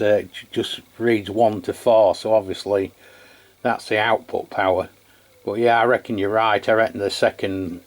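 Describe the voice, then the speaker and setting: A man chatting casually as he hosts a hands-on radio equipment test. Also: on the low side. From England. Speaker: radio channel host testing equipment.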